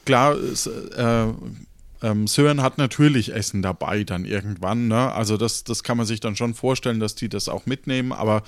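A man's voice: on the low side, moderate pace, dry recording. A man hosting a casual group debrief, thinking aloud with a hesitant manner. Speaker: podcast host and game leader. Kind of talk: casual group debrief after an improvised audio play.

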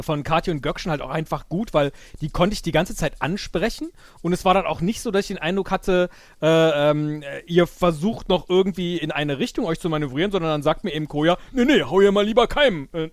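A man in an excitable, casual debrief chat who acts out his remembered thoughts as he talks. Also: on the high side, a little fast, hardly any pauses, dry recording. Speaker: podcast guest and improv player.

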